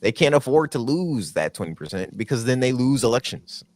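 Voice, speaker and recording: A man's voice: mid-range voice, very animated, fairly quick, darkish tone. A man co-hosting a podcast, talking bluntly with lots of slang in casual chat. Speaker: podcast co-host.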